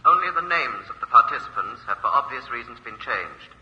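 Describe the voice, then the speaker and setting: A man's voice: medium pitch, animated, fairly quick, slightly rough. A British man giving solemn scripted announcements for a vintage radio crime drama, on a dull, heavily reverberant old recording.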